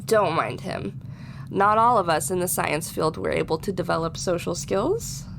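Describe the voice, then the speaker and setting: A woman's voice: lowish voice, animated, normal speed, gravelly, background noise. An actress playing a confident, teasing female scientist in an audio drama, upbeat and assured. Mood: proud, teasing.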